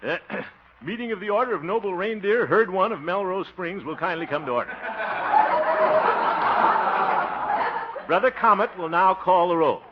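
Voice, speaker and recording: A man's voice: high voice, brisk. An actor playing a jovial, self-important, chuckling small-town man in a 1950s radio comedy. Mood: blustery. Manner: blustery, chuckling, excitable. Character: jovial, self-important grown son, club leader. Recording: muffled, hissy 1950s radio recording.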